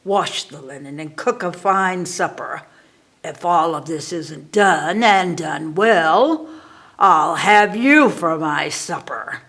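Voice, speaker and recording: Sly old witch, menacing, commanding, low and unhurried, read by a woman. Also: animated, fairly bright tone. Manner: commanding, threatening.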